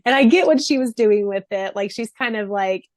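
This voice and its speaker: A woman's voice: mid-range voice, normal speed, no echo. A woman podcast co-host chatting casually about a book in an informal, jokey way, mimicking imagined lines. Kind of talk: casual book-discussion chat.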